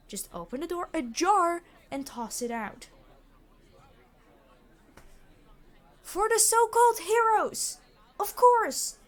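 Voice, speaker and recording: A woman playing a weary immortal supervillain in an ASMR roleplay, an exasperated boss who is gentle with hostages, speaking formally with dry sarcasm. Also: on the high side. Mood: exasperated, commanding. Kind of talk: scripted ASMR roleplay acting.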